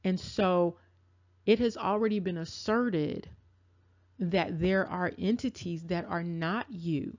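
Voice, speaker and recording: A woman giving spoken commentary to her viewers, deliberate and emphatic, with a repetitive cadence. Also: fairly low pitch, a little mellow. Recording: dull lo-fi audio.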